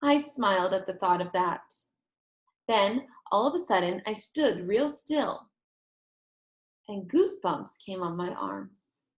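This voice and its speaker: A woman narrating a children's chapter book aloud in an expressive read-aloud style. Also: mid-range voice, takes it slowly, roomy sound.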